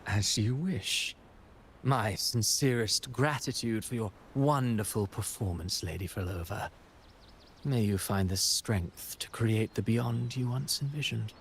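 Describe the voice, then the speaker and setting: Low-voiced, courteous scheming playwright. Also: male voice, unhurried, a little hiss or hum. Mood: courteous, composed. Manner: formal, poetic, composed. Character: courteous, scheming playwright villain, calm and theatrical. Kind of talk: video game cutscene acting.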